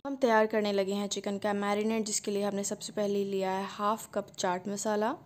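A woman, hosting a cooking channel and calmly narrating a recipe step by step. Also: mid-range voice, normal speed. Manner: calm step-by-step instruction.

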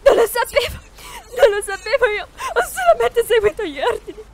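Woman acting a high-voiced, bitter young woman agent. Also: big pitch swings, normal speed, some chatter behind, slight background noise. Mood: tearful. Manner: intense, bitter, emotional. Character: defiant, wounded young woman agent, feeling betrayed. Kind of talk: dramatic fan dub of a video game.

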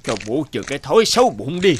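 An actor voicing a vain, spiteful middle-aged feng shui master in a cartoon folk tale, theatrical and grumbling. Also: male voice, on the high side, big pitch swings, quick pace, a little hiss or hum. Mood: irritated, resentful. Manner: theatrical, muttering complaints, falsely polite. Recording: music behind the voice.

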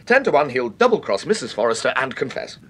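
An actor playing an insistent, indignant but fussily polite British gentleman in a radio drama. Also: medium pitch, sing-song, quick pace, breathy edge.

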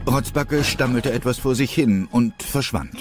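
A man's voice: medium pitch, a little slow, faint music behind. A man narrating a scripted audio drama as its storyteller.

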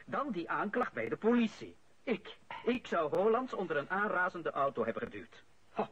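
Indignant civil servant, high agitated voice. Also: male voice, a bit bright, breathy edge, full character voice. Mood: bitter, aggrieved. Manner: agitated, self-righteous, protesting. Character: indignant, accused male civil servant, aggrieved son. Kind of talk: Dutch-language radio drama acting. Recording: muffled, noisy old radio recording.

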